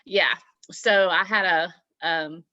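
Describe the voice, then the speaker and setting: A woman's voice: on the low side, steady tone, slow, sharp tone, dry recording, highs cut off. An older woman with a Southern US accent, a guest speaker chatting on a video call, laughing often. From Southern US (Mississippi, Alabama). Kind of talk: casual talk on a video call. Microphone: laptop on a video call.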